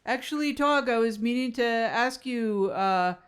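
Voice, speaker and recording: Lady doing an earnest helpful roommate, kinda high n smooth, rambles and hesitates, improv audio comedy. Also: unhurried, lightly acted. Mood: curious, awkward. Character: eager, helpful, rambling roommate named Chip Crust.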